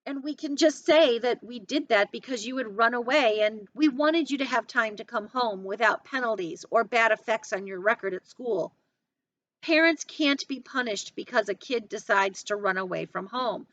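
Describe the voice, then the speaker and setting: A woman reading aloud as a sad, earnest, protective father in a novel, measured and persuasive, on a dull recording. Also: on the high side, fairly bright tone, natural voice. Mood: earnest, reassuring. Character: sad, earnest, protective middle-aged father, reasoning firmly. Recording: dull, lo-fi sound.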